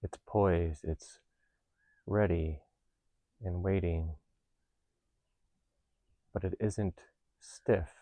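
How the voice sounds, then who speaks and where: A man leading a guided meditation, giving gentle instructions in a calm, unhurried, reassuring manner. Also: low-pitched, no echo. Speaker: meditation teacher.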